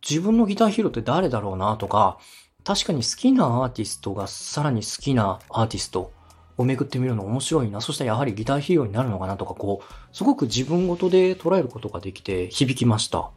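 Husky, animated man giving podcast advice. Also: mid-range voice, quick pace. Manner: polite, encouraging, methodical explaining. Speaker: podcast host and radio director giving feedback. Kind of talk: structured advice on podcast production.

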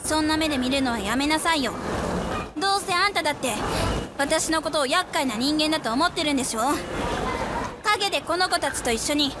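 An actress playing a childish, possessive high school girl in a radio drama, petulant and demanding, given to repeating her words in tantrums. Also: expressive, fairly quick, very noisy. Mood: sulky, hurt. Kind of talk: Japanese radio drama acting.

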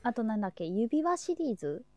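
A woman's voice: a little high, sing-song, quick pace, a little mellow, no room sound. A young woman chatting casually and informally as co-host of a group livestream. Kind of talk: casual chat.

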